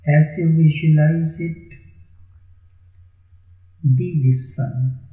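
A man narrating a guided meditation in a measured way, with long pauses between short phrases. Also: on the high side, steady tone, mellow, reverberant, poor audio.

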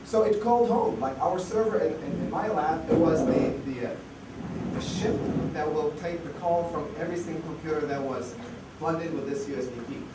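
A man's voice: on the high side, moderate pace. A man giving a casual conference talk full of fillers and restarts, while losing his voice. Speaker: conference speaker. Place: conference hall. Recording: echoey hall, far mic, noisy.

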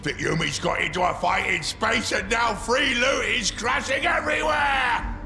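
Gravelly, bellowing ork brute. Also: male voice, very high-pitched, pushed and bright, raised voice, soft background music, heavily acted. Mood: excited bellowing. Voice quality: gravelly, rough. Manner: bellowing, drawn-out, crude. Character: brutish, greedy, scheming ork warboss and his excitable underlings. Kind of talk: video game character acting.

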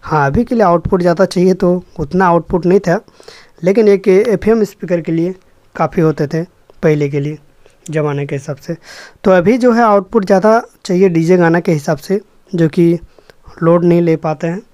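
A man's voice: sits high, normal speed, a little mellow, no room sound. Man, young adult, repair vlogger, explanatory and repetitive, dry recording. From Jharkhand, India. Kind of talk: casual hands-on demonstration narration.

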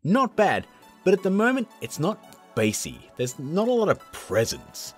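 A man in his thirties hosts a tutorial with a lot of swing in his pitch. He is chatty and jokey, keeping it informal, with music playing underneath. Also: wildly swooping pitch, a little slow. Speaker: host of an effects tutorial channel. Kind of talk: casual tutorial presenting.

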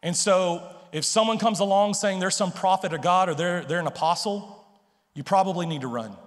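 High-voiced emphatic male pastor preaching. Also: moderate pace. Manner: emphatic, conversational, repeats words, frequent "okay?" and "right?". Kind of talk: sermon. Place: large church stage with purple lights. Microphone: handheld mic. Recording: long hall reverb.

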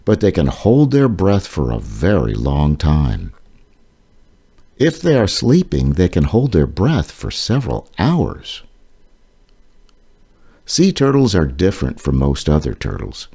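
A man reading an educational children's book aloud as its author, phrasing things deliberately and explaining them for children. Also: very deep voice, wildly swooping pitch, gravelly, warm tone, breathy edge.

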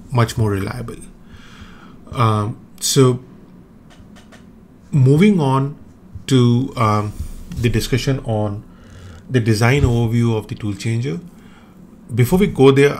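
A man's voice: medium pitch, a little mellow, slight background noise. A man whose first language is Hindi, explaining his own 3D printer tool changer design in a screen-recorded walkthrough, hesitant with many ums and restarts.

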